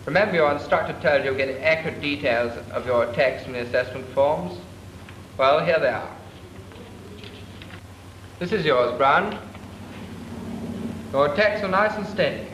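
A man's voice: a little high, normal speed, long pauses, a bit bright, close to an everyday voice. A man with a British accent playing an instructor in a 1940s film, matter-of-fact and mildly scolding as he reviews reports. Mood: stern. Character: stern male gunnery instructor. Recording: echoey, hissy old film sound.

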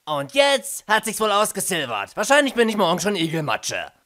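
A man's voice: high voice, wildly swooping pitch, moderate pace, sharp tone, full character voice. A man voicing a nervous, whiny young male hedgehog in a cartoon fandub, flustered and dramatic. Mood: resigned. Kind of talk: German fandub cartoon acting.

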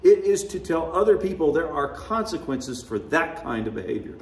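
A man's voice: on the high side, very animated, normal speed, roomy sound, distant mic. A man preaching a sermon, conversational and wry, often chuckling at his own remarks. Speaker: pastor. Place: church sanctuary.

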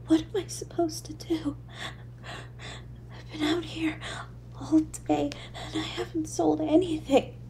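A woman voicing a frail, frightened little street girl in an audio drama, timid, halting, shivering and tearful. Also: sits high, level tone, warm tone, slight background noise. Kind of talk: dramatic audio story monologue.

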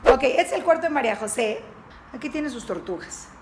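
A woman's voice: high voice, lively intonation, brisk. A woman in her thirties giving a chatty, upbeat tour of her home, talking into a handheld camera as she walks from room to room. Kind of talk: casual house tour walk-through. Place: rooms of a house, indoors. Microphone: handheld camera, close, moving. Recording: echoey rooms, clear background noise.

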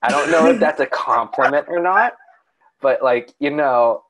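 A young man co-hosting a casual podcast, talking at length in a calm, soothing voice, often restarting his sentences. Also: on the high side, moderate pace, low-quality recording. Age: young adult. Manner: rambling, with many restarts and fillers. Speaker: podcast co-host. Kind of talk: casual chat.

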